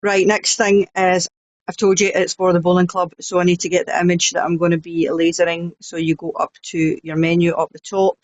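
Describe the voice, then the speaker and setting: A woman's voice: lowish voice, completely flat delivery, a little fast, no room sound. Chatty Scottish woman, dull sound. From Scotland. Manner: chatty and blunt, with frank asides. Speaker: crafting tutorial host. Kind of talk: casual step-by-step tutorial.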